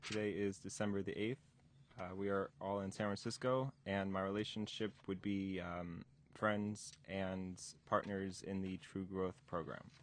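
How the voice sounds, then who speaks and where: Very deep-voiced twenty-year-old man. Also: steady tone. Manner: halting, many restarts and fillers. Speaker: DJ instructor. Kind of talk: recorded interview recollection. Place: museum interview room.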